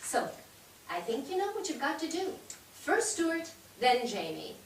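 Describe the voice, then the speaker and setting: A woman's voice: high voice, huge pitch swings, measured pace, heavily acted. A woman playing a practical, firm-willed immigrant wife and mother in a staged play reading. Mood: persuasive. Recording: echoey stage, distant mic, background hiss.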